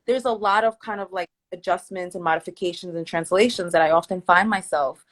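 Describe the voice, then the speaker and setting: A woman's voice: on the low side, fairly quick. A woman therapist whose first language is not English, talking through her thoughts in a reflective, searching way on a casual live stream. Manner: reflective, thinking aloud with many "like" fillers and restarts. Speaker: therapist guest.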